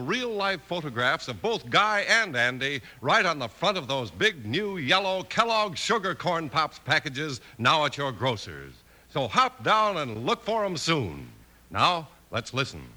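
A man's voice: on the high side, very animated, unhurried, pushed and bright. A man announcing and narrating a 1950s radio western in an emphatic, scripted showman style.

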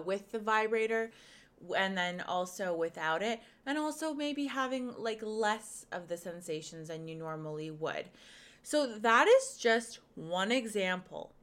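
Bright-voiced woman losing her voice. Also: medium pitch, clear, resonant tone. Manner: chatty, frank, many fillers. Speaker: podcast host and pleasure coach. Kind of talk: casual podcast monologue.

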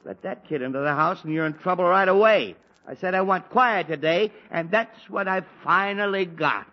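A harried, blustering dad. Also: male voice, sits high, moderate pace. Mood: exasperated. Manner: exasperated, blustering. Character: harried middle-aged father with a headache. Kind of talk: 1940s radio acting. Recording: dull old 1940s radio broadcast.

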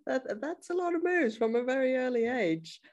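A woman's voice: sits high, big pitch swings, moderate pace, a little mellow. A woman hosting a podcast, welcoming her guest and asking questions, sometimes repeating words as she goes. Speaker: podcast host.

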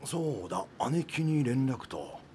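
An actor playing an easygoing rural island inn worker in a Japanese radio drama, casual and folksy. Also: male voice, mid-range voice, very animated, slow pace, background noise. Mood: relaxed, chatty. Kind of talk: naturalistic radio drama dialogue.

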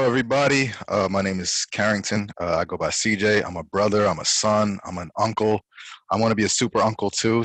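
A man introducing himself earnestly and in a measured way as a podcast guest. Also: lowish voice, fairly bright tone, no echo, low-quality recording. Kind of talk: casual introduction.

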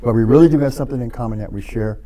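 Male guest, mid pitch, thoughtful, halting delivery. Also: dark tone. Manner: reflective, halting, many restarts and fillers. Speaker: podcast guest. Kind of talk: casual podcast conversation.

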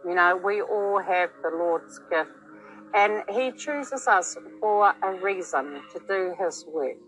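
A woman's voice: fairly low pitch, slow, fairly bright tone, faint music behind, low-quality recording. A woman from New Zealand with a New Zealand accent hosting a casual podcast chat, rambling along with many fillers. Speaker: podcast host.

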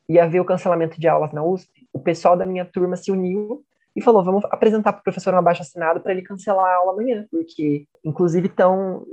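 A woman student recounting her university experience in a measured way, often checking in with tag questions. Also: lowish voice, mellow, highs cut off. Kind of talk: testimonial interview.

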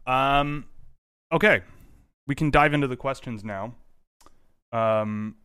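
Young male stream host, casual and rambling, many fillers, smooth voice, dry close mic. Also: mid-range voice, slow pace, a bit bright, dry recording. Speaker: hockey prospect livestream host. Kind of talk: casual livestream chat.

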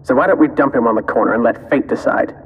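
A man's voice: medium pitch, fast, breathy edge, narrow, tinny audio, lightly acted. Man playing a callous resistance boss, reverberant. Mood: scornful. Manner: sardonic, condescending. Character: callous, suspicious male resistance boss, condescending. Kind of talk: sci-fi audio drama acting. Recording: long hall-like reverb.

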